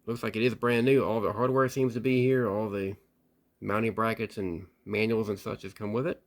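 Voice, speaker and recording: A man hosting a casual show-and-tell about radio gear, relaxed and plain-spoken, with frequent pauses and repeated words. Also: on the low side. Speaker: radio hobbyist host.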